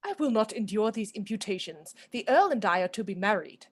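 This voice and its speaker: An actress as a haughty young lady of noble birth in a radio drama. Her medium-pitched voice moves widely, formal and quick to take offence. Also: animated, lightly acted. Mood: offended. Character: proud, indignant young noble maiden. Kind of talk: theatrical radio play acting.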